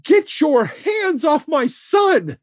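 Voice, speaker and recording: A man voicing a desperate young mother with a hoarse voice who shouts in panic. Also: extremely high pitch, sing-song, slow, dry recording, clean studio sound, pitched above the performer's own voice, exaggerated voice. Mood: frantic. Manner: shouting, frantic.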